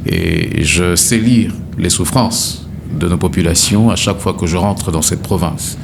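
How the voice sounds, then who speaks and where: A middle-aged man with a very low, gravelly voice answers an interviewer's questions in a formal, measured way, often stopping to hesitate. The recording has a clear layer of background noise. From DR Congo, Kasai. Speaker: gubernatorial candidate.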